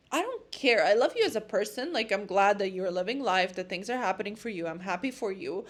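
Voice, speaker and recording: A young woman with a Serbian accent, vlogging to camera in a blunt, impassioned monologue about friendship. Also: on the high side. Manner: impassioned, blunt, repeats words when emphatic. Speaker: lifestyle vlogger.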